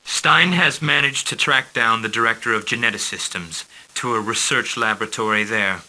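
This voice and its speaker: An actor playing a commanding mission controller who gives clipped, formal orders in a game cutscene. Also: male voice, medium pitch, normal speed, bright, forceful tone, low-quality recording. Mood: grave.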